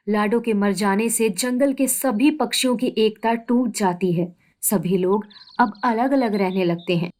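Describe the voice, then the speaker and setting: A woman's voice: medium pitch, a little mellow. A woman narrating a scripted children's story.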